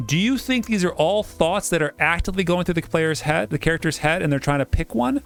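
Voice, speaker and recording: A man's voice: on the high side, lively intonation, a little fast, vocal fry, fairly bright tone, soft background music. Joking man hosting a game playthrough. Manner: joking, digressive. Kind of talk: casual gaming commentary and reading aloud.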